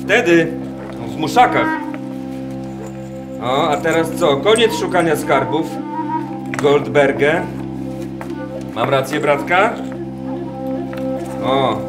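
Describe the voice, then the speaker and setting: An actor in a radio play voicing a condescending German camp officer who collects birds, halting and musing between commands. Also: male voice, fairly high pitch. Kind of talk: radio drama acting. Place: wooden barn, live performance. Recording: roomy barn sound with music behind. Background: music.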